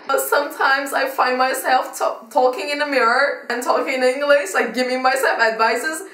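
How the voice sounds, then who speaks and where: Turkish accent girl in her 20s, bubbly fast vlog chat, mic kinda far off. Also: high-pitched. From Turkey. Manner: energetic, fast-talking, animated gestures. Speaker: vlogger. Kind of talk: channel introduction to camera. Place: small decorated bedroom, indoors. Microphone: camera mic from a few feet away. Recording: roomy, somewhat distant mic.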